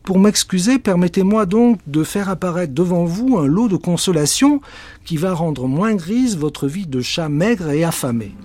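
A man voicing a polite but clumsy female witch in a storybook reading, slow and overly courteous. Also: high-pitched, animated, a little mellow, pitched above the performer's own voice. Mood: grand.

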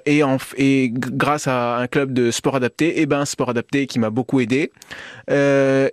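A man from Paris with a French accent, giving personal testimony as a radio guest, earnest and halting, often repeating words. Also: a little high, dry recording, studio quality. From Paris, France. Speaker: radio guest, amateur trail runner. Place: radio studio. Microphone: studio microphone.

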